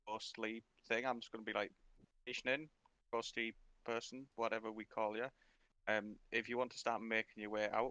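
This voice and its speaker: A man's voice: on the low side, sharp tone, clear, resonant tone, no room sound, rough recording. A man playing an online tabletop game, casually describing what his character does on his turn.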